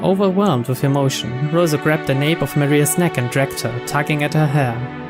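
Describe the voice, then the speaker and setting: Male narrator, slightly high voice, reading visual novel prose aloud over prominent game music. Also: moderate pace. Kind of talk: scripted story narration read aloud.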